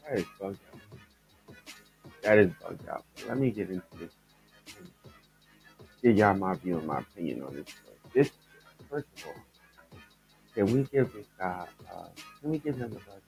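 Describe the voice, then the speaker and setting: A man hosting his own podcast, his voice raspy and stuffed up, chatting loosely and rambling as he talks straight to his listeners about the news. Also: sits low, lively intonation, a little slow, pauses often, darkish tone, soft background music, rough recording. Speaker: podcast host. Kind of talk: casual commentary on news stories.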